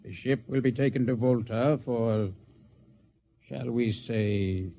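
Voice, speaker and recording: A cold alien mutineer talks slowly and calmly in a measured, menacing way. He is a character in a 1950s radio play. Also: medium pitch, highs cut off. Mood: coldly menacing. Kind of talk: 1950s radio drama acting.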